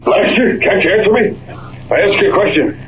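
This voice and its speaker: A man's voice: sits high, sing-song, fairly quick, background noise. A man playing a scheming, hot-tempered prospector in an old radio western, with a rural Western American accent and a blustering folksy manner, on a muffled recording. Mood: angry, threatening. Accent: rural American West. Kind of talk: 1930s-40s radio western acting. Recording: muffled old radio broadcast, background din.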